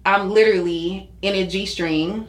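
A woman's voice: mid-range voice, steady tone, measured pace. A young woman talking as a podcast guest in a casual personal conversation, candid, confiding and slangy. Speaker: podcast guest, social media model. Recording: roomy, echoey podcast sound.

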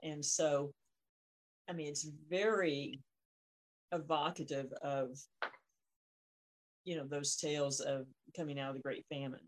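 A woman's voice: sits low, fairly even intonation, slight background noise, low-quality recording. Hesitant woman podcast co-host. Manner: hesitant, lots of ums and pauses. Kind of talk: conversational discussion of folklore.

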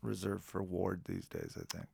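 A man who is a musician, telling a childhood story as a guest in a relaxed, rambling way. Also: low-pitched, normal speed, creaky, breathy edge, no room sound, clean studio sound. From Colorado. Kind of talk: casual storytelling in an interview.

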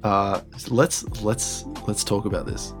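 A man's voice: lowish voice, fairly even intonation, slow, faint music behind. A young man co-hosting a casual podcast chat, joking and laughing easily, often restarting his sentences. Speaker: podcast co-host.

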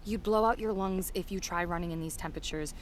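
A woman acting in an audio drama as a plucky, curious investigator with a brisk, matter-of-fact manner. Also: lowish voice, close to an everyday voice. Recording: loud ambience and noise behind.

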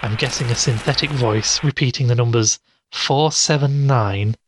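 An actor playing a skeptical investigative podcast host in an audio drama, haltingly thinking aloud. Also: male voice, medium pitch, noisy background, natural voice. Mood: puzzled, skeptical. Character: skeptical male investigative show host puzzling over clues.

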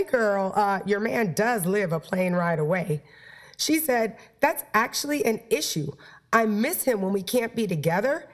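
A woman hosting a playful, flirty talk show about sex and relationships, her voice stuffed up from a cold. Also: medium pitch. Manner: playful, flirty, talks straight to listeners. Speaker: podcast host.

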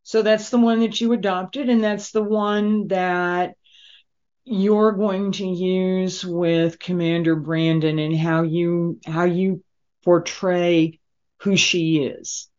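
A woman interviewer discussing a character in deliberate, halting phrases on a muffled recording. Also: fairly low pitch, steady tone, darkish tone. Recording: dull, lo-fi voice recording.

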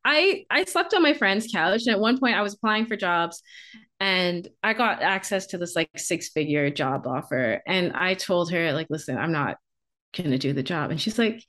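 A woman's voice: fairly low pitch, lively intonation, moderate pace, a bit bright. A woman with a light Bahamian accent, a coach telling her story candidly on a podcast, often restarting her sentences. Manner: candid, repeats and restarts, laughs. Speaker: career and business coach, guest.

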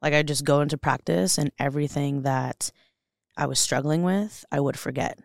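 Young woman guest, candid and emotional, gravelly edge, dry close sound. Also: low voice, moderate pace, no echo. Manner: earnest, emotional, many fillers and restarts. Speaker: podcast guest. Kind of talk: candid interview conversation.

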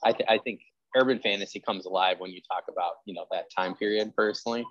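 Low-voiced man in rambling, casual chat. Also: quick pace, fairly bright tone, no echo, lo-fi sound. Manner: rambling, peppered with "like" and "you know". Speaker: interviewer and host; history teacher. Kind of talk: casual chat about genre fiction writing.

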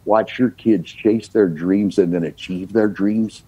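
An older man from the United States, a singer-songwriter guest, telling his story in a relaxed, reflective way with many "you know" fillers. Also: on the low side, a little slow, slightly rough, no echo, dull audio.

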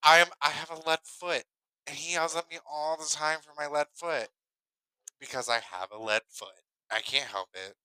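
Bright, forceful man telling casual stories. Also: a little high, fairly even intonation, moderate pace, clean tone, no echo, low-quality recording. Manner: emphatic, repeats himself for emphasis. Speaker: podcast co-host. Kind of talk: casual personal storytelling.

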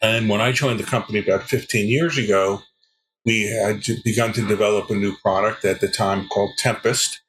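Low-voiced older man, measured. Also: steady tone, fairly bright tone, rough recording. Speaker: podcast guest, sales executive. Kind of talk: relaxed interview answers.

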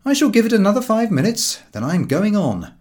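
Man putting on George, an impatient high-pitched walker. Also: very animated, fairly quick, darkish tone. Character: George, impatient, opinionated male walking companion.

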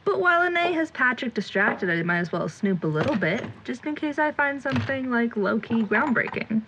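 A woman's voice: a little high, lively intonation, a little fast. A young woman playing a jittery, chatty amateur ghost investigator in an audio drama, talking in casual, rambling slang. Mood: musing, nervous. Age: young adult. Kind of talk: audio drama acting, chatty self-talk. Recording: muffled recording with background noise.

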